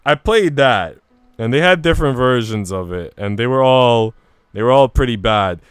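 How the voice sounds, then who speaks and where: A man's voice: mid-range voice, expressive, moderate pace, clean tone, no echo. A man chatting casually on a game livestream in an informal, slangy, rambling way. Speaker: game streamer. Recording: music playing behind the voice. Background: music.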